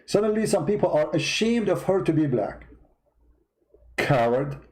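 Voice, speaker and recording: A man's voice: a little high, very animated, moderate pace, darkish tone. A man with a foreign accent who is not a native English speaker, giving heated, mocking political commentary and firing off repeated rhetorical questions. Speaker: host commentator.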